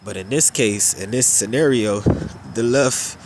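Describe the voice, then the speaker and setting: A man with a stuffed-up, nasal voice giving unhurried religious commentary, drawing out his phrases with filler pauses. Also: mid-range voice, noisy background.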